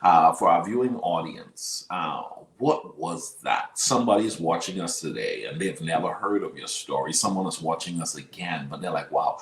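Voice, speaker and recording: Deep-voiced Bahamian man in an echoey room. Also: moderate pace, few pauses. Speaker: interview show host. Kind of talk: conversational interviewing. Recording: roomy, echoey sound.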